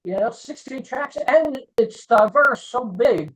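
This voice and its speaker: A man's voice: very high-pitched, animated, slow, a bit bright, a little hiss or hum, poor audio. A man in his sixties hosts a casual video interview, mostly giving short agreeing replies and repeating his words. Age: 60s.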